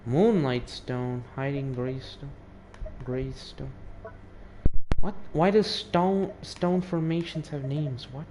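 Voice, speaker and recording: A teenage male gamer comments casually on play, often muttering and swearing. The voice is mid-pitched, in a home recording with clear background noise. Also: takes it slowly, dark tone. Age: teens. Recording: noisy home gaming recording.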